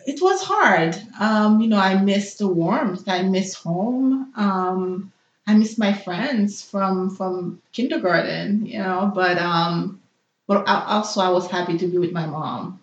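Reflective woman with many pauses, roomy sound. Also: mid-range voice, expressive, lo-fi sound. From Haiti. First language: Haitian Creole. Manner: thoughtful, hesitant, with many fillers. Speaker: interview guest, nurse. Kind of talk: personal interview.

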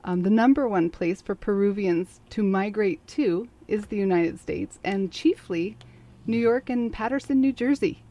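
A woman's voice: mid-range voice, unhurried, dark tone. A woman hosting a community interview show, asking friendly, encouraging questions. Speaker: host.

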